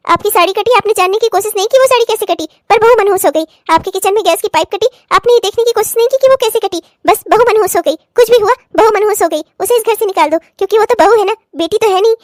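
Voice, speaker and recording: A woman's voice: extremely high pitch, dry recording, studio quality. A woman playing a righteous, stern in-law in a family melodrama, lecturing with emphatic sarcasm. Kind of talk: melodramatic family story acting.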